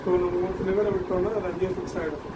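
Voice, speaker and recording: A man giving an unscripted product demonstration, mixing in English terms, halting and repeating words as he explains. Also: high-pitched, fairly even intonation, very noisy.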